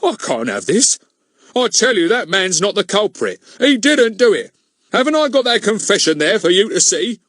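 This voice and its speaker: A man's voice: high-pitched, very animated, normal speed, fairly bright tone, no echo, studio quality, pitched above the performer's own voice, full character voice. A man voicing a blustering, stubborn village policeman with a strangled, protesting manner in a storybook. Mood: flustered protest.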